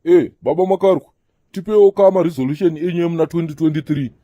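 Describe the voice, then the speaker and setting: A man's voice: a little high, fast, mellow, dry recording, muffled recording, close to an everyday voice. A man voicing a preachy older Zimbabwean prophet in a comedy cartoon, holding forth to the younger men like an elder. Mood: preachy, chiding. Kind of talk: comedy cartoon dialogue in Shona mixed with English.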